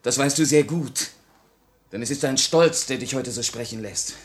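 An actor playing an anguished, idealistic young Russian revolutionary, earnest and theatrical, in a 1950 radio play. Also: male voice, mid-range voice, normal speed, lightly acted. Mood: proud, resolute. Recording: vintage 1950 radio recording.

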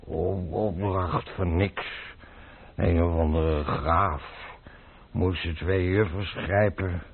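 Creaky-voiced, rambling drunken old-soldier sergeant. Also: male voice, sits low, fairly even intonation, exaggerated voice. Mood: drunken, gloomy. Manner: halting drunken rambling, breaking into recruiting cries. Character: drunken, rambling veteran cavalry sergeant, boastful and gloomy. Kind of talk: radio play acting. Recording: muffled old radio play recording.

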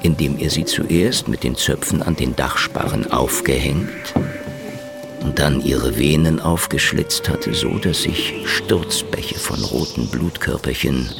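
A man's voice: very deep voice, a little slow, gravelly, loud music behind the voice, full character voice. A man playing a cunning, hard-drinking old private detective in a hard-boiled radio drama, taunting and wordy in his wisecracks. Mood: grim narrating. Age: older.

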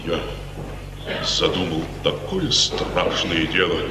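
A man's voice: low-pitched, expressive, slow pace. An actor playing a tormented, solemn father in a 1950s Soviet radio tragedy. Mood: brooding, tormented. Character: tormented, solemn father brooding on a terrible deed. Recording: distant, echoey, noisy 1950s radio recording.

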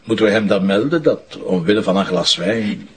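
An actor playing a courteous but persistent police investigator with a Flemish accent in an audio drama. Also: male voice, on the low side, animated, brisk, slight background noise, close to an everyday voice. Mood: insistent. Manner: courteous, hesitant openings, persistent. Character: polite but insistent male police investigator.

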